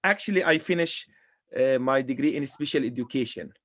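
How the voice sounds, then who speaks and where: Halting man whose first language is Arabic. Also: mid-range voice, a bit bright. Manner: halting, many uh's and restarts. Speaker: Arabic teacher, guest. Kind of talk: casual video call interview.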